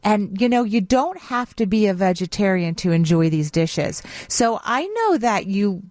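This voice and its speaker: A woman's voice: mid-range voice, sing-song, a little slow, a little mellow, no echo, clean studio sound. A woman with an American accent giving an emphatic, enthusiastic, chatty ad read as radio co-host. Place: radio studio, dry close sound.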